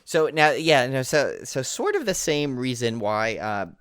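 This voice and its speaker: A man's voice: medium pitch, sing-song, measured pace, a bit bright, clean tone, no room sound. A man with a New York accent co-hosting a podcast in casual chat, rambling and laughing often. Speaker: podcast co-host.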